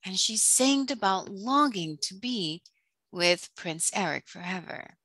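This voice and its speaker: A woman's voice: medium pitch, takes it slowly, no room sound. A woman hosting storytime, narrating expressively for children and speaking to them directly. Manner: expressive, addresses young listeners directly.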